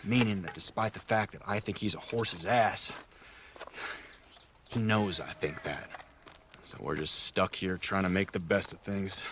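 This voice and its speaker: An actor playing a cautious, principled American army captain in a Western video game, speaking in a polite, measured, hesitant way. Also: male voice, sits low, noisy background. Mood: frustrated, resigned. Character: earnest, cautious, principled young army captain in the Old West. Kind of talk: dramatic video game acting.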